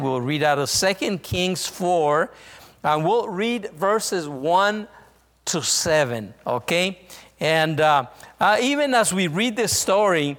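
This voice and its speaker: A man from northern Mexico with a Mexican Spanish accent, a visiting missionary preaching a sermon, halting often with fillers and restarts. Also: fairly high pitch, a bit bright, in a large hall.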